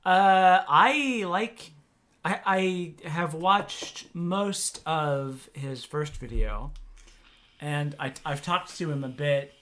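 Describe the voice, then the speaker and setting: A woman's voice: sits low, fairly bright tone. A woman host from Oklahoma on a livestream, talking in a rambling, hesitant way with many restarts. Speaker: streamer host. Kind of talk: casual livestream chat.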